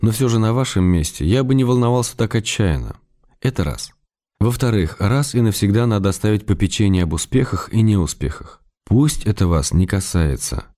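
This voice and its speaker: An actor playing a wry, affectionate middle-aged writer who reads his letters in a measured, gently ironic way for a radio drama. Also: fairly low pitch, animated, natural voice. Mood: calm, consoling.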